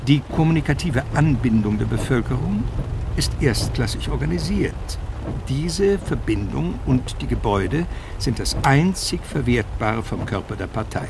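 A man narrating a scripted literary, documentary-like text in a sober, matter-of-fact way with deliberate phrasing. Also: mid-range voice, animated, vocal fry, noisy background.

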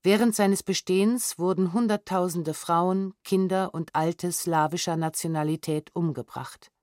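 A woman reading historical testimony as scripted audiobook narration in a measured, sober, matter-of-fact way. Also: on the low side, steady tone, a little mellow, dry recording, clean studio sound. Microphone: close studio microphone.

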